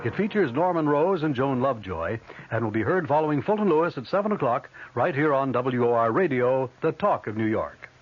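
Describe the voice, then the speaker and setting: A man's voice: medium pitch, expressive, fairly quick, soft background music. An American man reading scripted promotional announcements as a radio station announcer. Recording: dull 1970s radio broadcast.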